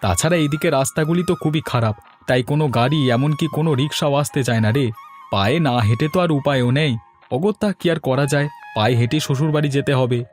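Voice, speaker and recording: A man's voice: on the high side, fast talker, soft background music, lightly acted. A man playing a bossy but charitable village family man in a cartoon fairy tale. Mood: resigned.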